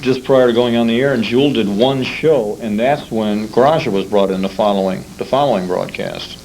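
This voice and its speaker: A man conducting a conversational interview, putting his questions carefully and a little haltingly. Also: on the low side, gravelly, a little mellow. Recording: noisy amateur convention interview tape.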